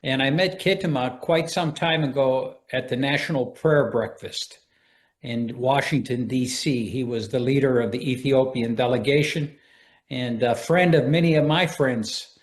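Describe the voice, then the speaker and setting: A man's voice: mid-range voice, measured pace. A man, president of an organization, giving a plain, fluent interview testimony.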